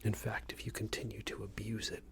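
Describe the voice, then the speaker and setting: A man playing a smug, unreasonable boss in an ASMR roleplay, scolding an employee in a soft breathy whisper with a hesitant, condescending manner. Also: completely flat delivery, brisk, lightly acted. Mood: passive-aggressive. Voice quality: breathy soft whisper with low creaky murmur. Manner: hesitant, condescending, meandering reprimand.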